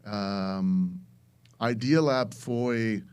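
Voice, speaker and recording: A man's voice: fairly low pitch, drawn-out words, darkish tone, smooth. An American man, a native English speaker, talking about his experience in Portuguese as a guest. He is hesitant, searching for words and restarting often. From United States. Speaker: guest, venture capitalist. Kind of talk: casual podcast interview.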